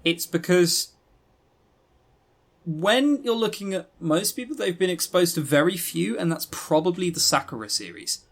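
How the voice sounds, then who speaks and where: Animated, higher-pitched man, sarcastic podcast co-host. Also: moderate pace, vocal fry. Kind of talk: casual chat reviewing visual novels.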